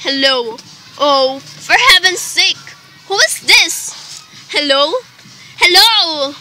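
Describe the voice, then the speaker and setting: A woman's voice: sits high, expressive. A woman playing a nervous, demanding invalid wife in a radio play, with indignant, melodramatic delivery. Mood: exasperated, nervous. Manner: melodramatic, indignant, slow deliberate emphasis. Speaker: student actor in a radio play.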